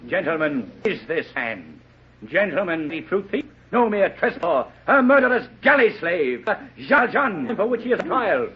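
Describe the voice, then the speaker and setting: An actor playing a zealous, accusing prosecutor with declamatory courtroom oratory in a 1930s radio drama. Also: male voice, high voice, sing-song, moderate pace. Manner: declamatory, ringing. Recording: dull, noisy 1930s radio recording.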